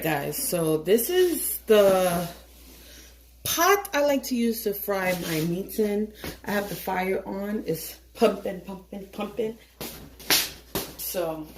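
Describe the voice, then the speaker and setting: A woman's voice: mid-range voice, a little hiss or hum. Chatty woman cooking in an echoey kitchen. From Haitian family. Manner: chatty, joking, swears casually, sings snatches. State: tired, late at night. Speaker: home cook vlogger. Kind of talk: casual cooking vlog chat. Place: home kitchen.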